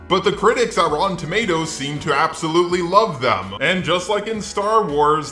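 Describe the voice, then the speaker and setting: A man's voice: fairly high pitch, bright, forceful tone, roomy sound. A man's synthetic robot voice delivering scripted, opinionated video commentary in a sarcastic, sweary manner. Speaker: video essay host.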